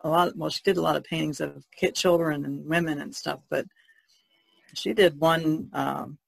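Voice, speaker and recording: A woman's voice: deep voice, steady tone, moderate pace, creaky, no room sound, rough recording. A woman chatting casually as a podcast panelist, in a hesitant, rambling way. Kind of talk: casual chat.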